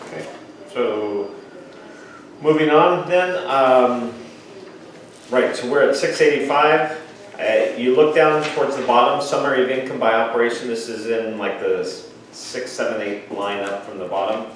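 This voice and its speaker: A man's voice: mid-range voice, unhurried. A man giving an explanatory financial report at a board meeting in a plain-spoken, informal way. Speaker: finance officer presenting a report. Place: indoor public board meeting room. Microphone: distant room microphone. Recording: echoey meeting room, distant mic, faint noise.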